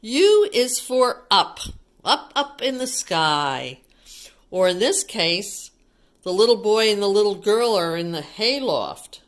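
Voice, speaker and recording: Slow-paced woman reading to little kids. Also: mid-range voice, big pitch swings. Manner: child-directed, explaining and asking questions. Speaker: teacher reading a picture book to young children. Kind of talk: read-aloud with simple explanations for small children.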